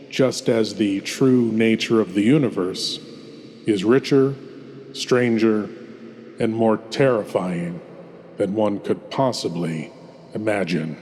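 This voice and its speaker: A man hosting a horror show with a scripted, theatrical introduction, dramatic and ominous, with deliberate pauses. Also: lowish voice, mellow. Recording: long cavernous reverb.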